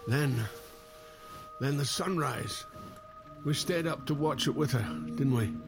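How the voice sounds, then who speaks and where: An actor playing a forgetful brother reaching for memories, halting and unsure, in naturalistic audio drama. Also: male voice, medium pitch, over music. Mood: nostalgic.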